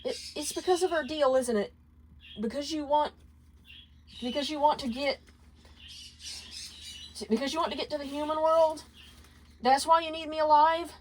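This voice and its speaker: A high, tearful male imp played by a woman pleads and accuses in stammering bursts. He sounds despairing and wounded, like a figure in a dramatic fan story read aloud. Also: fairly even intonation, moderate pace, pauses often, slight background noise, natural voice, rough recording. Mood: tearful accusing. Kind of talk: fanfiction reading.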